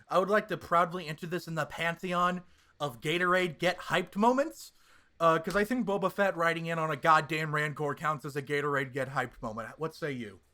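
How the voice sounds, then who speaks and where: A man co-hosting a casual pop-culture podcast, talking in an excitable, hyperbolic fan style with plenty of swearing. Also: a little high. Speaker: podcast co-host.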